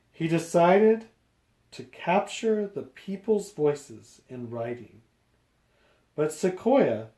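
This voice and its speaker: A man reading a picture book aloud to young children, explaining deliberately phrase by phrase. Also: a little high, warm tone, reverberant.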